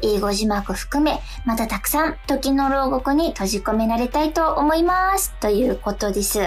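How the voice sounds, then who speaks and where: A young woman speaks as a radio co-host with a fairly high voice. She is polite and responsive, moving between reading and casual chat. Music plays faintly underneath. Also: expressive, normal speed. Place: radio studio.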